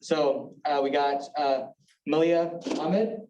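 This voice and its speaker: A young man who organizes a student meeting speaks briskly and informally as he introduces himself and others. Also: a little high, fairly even intonation, a little mellow, lo-fi sound, a little hiss or hum. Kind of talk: casual introductions. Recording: echoey room from far off.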